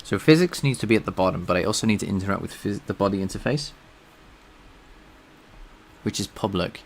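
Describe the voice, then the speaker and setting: Guy live coding on stream, low voice with lots of fry, talks his way thru the code. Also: animated, brisk. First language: English. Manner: thinks aloud while coding, answers chat.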